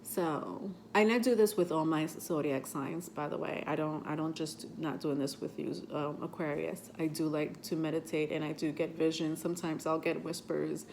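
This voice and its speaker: A woman on the lower side of the usual pitch range, her voice a little stuffy because she is getting over a cold. She hosts a tarot vlog and talks in a rambling, chatty way with plenty of fillers. A faint hiss of noise sits under her voice. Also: fairly even intonation, moderate pace, gravelly, few pauses, a little mellow. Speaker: tarot reader and channel host. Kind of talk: casual vlog talk to camera.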